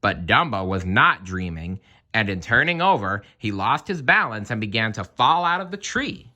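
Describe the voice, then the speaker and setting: Animated man reading a children's story. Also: fairly low pitch, normal speed. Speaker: story reader. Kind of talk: expressive children's read-aloud narration.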